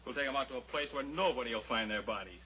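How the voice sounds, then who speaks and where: Actor as fast, forceful gangster henchman. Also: mid-range voice, natural voice. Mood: menacing. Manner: tough, slangy. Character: impatient trigger-happy gangster henchman, menacing. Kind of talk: old-time radio drama acting. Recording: faintly noisy old radio recording.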